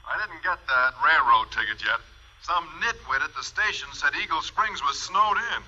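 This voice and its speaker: A man's voice: fairly high pitch, expressive, normal speed, pushed and bright, exaggerated voice. An actor playing a mild, polite male teacher in an old-time radio comedy. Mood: mildly put out. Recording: dull old radio recording.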